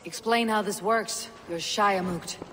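A woman's voice: mid-range voice, fairly even intonation, unhurried, a bit bright, in a large hall, natural voice. A woman playing a stern, blunt young Viking warrior in a video game, speaking in a terse, dry, guarded manner. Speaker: actor in a video game cutscene. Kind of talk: video game drama acting.

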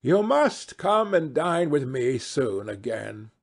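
A man voicing a witty, imperious old aristocratic hostess in a novel reading, effusive, drawn-out and theatrical. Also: mid-range voice, very animated, vocal fry, breathy edge, full character voice. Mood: delighted, gushing. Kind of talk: dramatised classic novel reading.

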